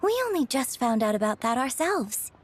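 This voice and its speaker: An actress playing a polite, gentle young lady in a video game, courteous and patient as she explains things. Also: sits high, big pitch swings, normal speed, slight background noise. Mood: patient, explaining. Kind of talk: English video game voice acting. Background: game music.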